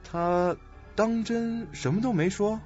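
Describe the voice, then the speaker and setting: A man's voice: high-pitched, takes it slowly, a little mellow, dull audio. A man playing a scheming, calculating doctor with a sly manner in a suspense radio play. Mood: suspicious. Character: scheming, smug, suspicious male doctor. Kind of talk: audio suspense drama acting. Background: music.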